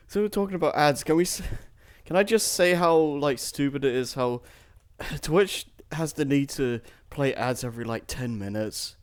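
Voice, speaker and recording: A man's voice: on the high side, moderate pace, clean tone, no echo. A man in casual chat, often saying "like" and restarting his phrases. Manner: frequent "like", restarts mid-phrase. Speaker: co-host of a stream.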